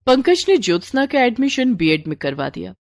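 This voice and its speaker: A woman's voice: on the high side, very animated, brisk, darkish tone, no echo, clean studio sound. A woman reading a scripted story as its narrator.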